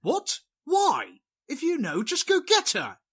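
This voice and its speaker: A woman's voice: sits high, huge pitch swings, slow pace, fairly bright tone, full character voice. A woman playing an anxious, impatient pony parent of a missing filly in an audio drama. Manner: flustered, demanding.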